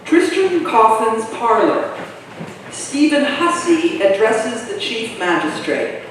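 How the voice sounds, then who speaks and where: A woman's voice: fairly high pitch, very animated, slow pace. A woman reading scripted stage directions at a play reading. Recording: echoey hall, far from mic, faint noise.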